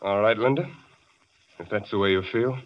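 A desperate, wronged husband in a 1940s radio drama. His husky voice sits high and lurches up and down with overwrought emotion. The sound is muffled, like an old broadcast. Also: lively intonation, normal speed. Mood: bitter. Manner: pleading, overwrought. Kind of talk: 1940s radio melodrama acting.

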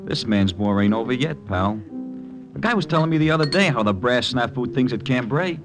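Male actor as gossiping American GI, mid-pitched, slangy, vintage radio drama, clear musical backing. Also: lively intonation, fairly quick, over music, lightly acted. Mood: grumbling. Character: gossipy, slangy American GI spreading war rumors. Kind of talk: 1940s-50s radio drama acting.

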